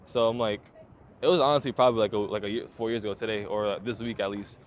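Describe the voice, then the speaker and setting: A man's voice: lowish voice, fairly even intonation, fast, slight background noise. A man in his twenties, a photographer, telling his story in a laid-back interview with lots of slang, fillers and swearing. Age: 20s. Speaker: photographer guest.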